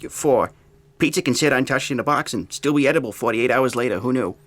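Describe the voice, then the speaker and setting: A man's voice: mid-range voice, fairly quick, close to an everyday voice. An actor playing an irritable, disgraced geologist in a comic audio drama, testy, sarcastic and pedantic as he explains himself. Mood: pedantic, earnest.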